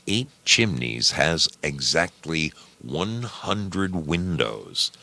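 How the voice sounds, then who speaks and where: A man reading a story aloud in a deliberate, measured manner, as scripted narration. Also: a bass voice, gravelly, sharp tone, no echo. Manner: slow, deliberate, measured delivery.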